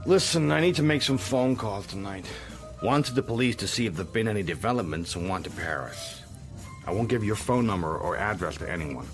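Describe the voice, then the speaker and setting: A cool, secretive American man in a radio drama, with a fairly low voice. He sounds controlled and insistent, both in dialogue and in first-person narration. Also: expressive, brisk, music in the background. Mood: thoughtful. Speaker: actor, lead and narrator.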